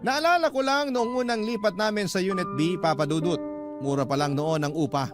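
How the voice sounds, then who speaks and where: A man with a Filipino accent reading a listener's confessional letter as a radio host, in measured, confiding dramatic narration. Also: high-pitched. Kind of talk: dramatic first-person story narration. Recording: loud guitar music under the voice. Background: plucked guitar music.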